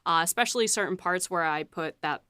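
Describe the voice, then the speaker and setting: A woman co-hosting a podcast and talking through her writing process, animated, with easy laughs and lots of fillers. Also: lowish voice, fairly quick, clear, resonant tone, no echo, studio quality. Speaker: podcast co-host and series writer. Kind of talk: casual chat.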